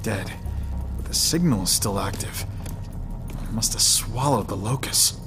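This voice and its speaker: A man's voice: lowish voice, lively intonation, unhurried, over music. An actor playing a tough, confident male ranger hero in a video game, terse and matter-of-fact. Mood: somber.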